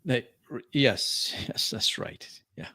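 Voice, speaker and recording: A man playing a deferential vampire who speaks haltingly and apologetically to a superior in a tabletop roleplay. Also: mid-range voice, lively intonation, sharp tone, dry recording, pitched above the performer's own voice, full character voice. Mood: nervous, placating. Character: deferential vampire pleading with a powerful sheriff.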